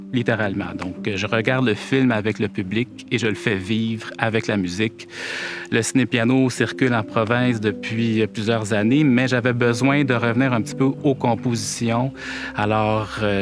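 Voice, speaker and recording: Québécois man, mid-range voice, musician chatting at home, unhurried and searching for words, clear piano behind. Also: medium pitch. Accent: Québécois French. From Quebec. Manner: thoughtful, searching for words with frequent pauses. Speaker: pianist-composer. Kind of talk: casual talk to camera. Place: indoors at home.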